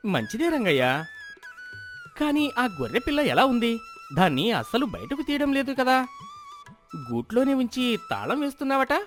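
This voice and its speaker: A man's voice: very high-pitched, huge pitch swings, normal speed, long pauses, a bit bright, faint music behind, full character voice. A man playing a nosy, inquisitive village farmer in moral-story dialogue. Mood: prying.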